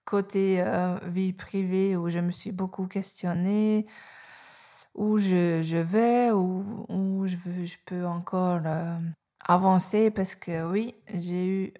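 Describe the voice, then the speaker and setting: A woman in her forties from Hungary, speaking French with a Hungarian accent as a podcast guest, hesitant and halting with many fillers and restarts. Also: lowish voice, fairly even intonation, warm tone. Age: 40s. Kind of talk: podcast interview.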